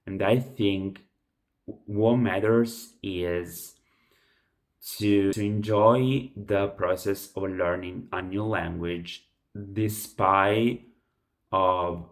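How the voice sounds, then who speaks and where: A man who is a native Italian speaker with an Italian accent, giving unscripted advice to viewers, halting and often restarting or repeating words. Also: low voice, steady tone. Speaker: language-learning video host.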